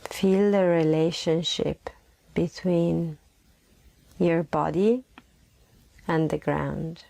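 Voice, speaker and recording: Slow, creaky woman guiding meditation. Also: low voice, steady tone, warm tone. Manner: soothing, slow, with long pauses and repeated phrases. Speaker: guided meditation host. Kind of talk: guided meditation.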